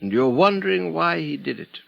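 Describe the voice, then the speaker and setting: A man's voice: fairly high pitch, very animated, fairly quick, creaky, full character voice. An actor playing an elderly former film director turned mission brother, hesitant and fumbling his words, in a vintage radio drama. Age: older. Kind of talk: 1950s radio drama acting. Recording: dull lo-fi vintage radio recording.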